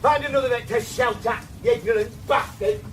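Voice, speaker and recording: An actor playing an exasperated male farm vet in a radio drama, blunt and forceful. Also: extremely high pitch, a little fast, recorded from a distance, heavy background noise.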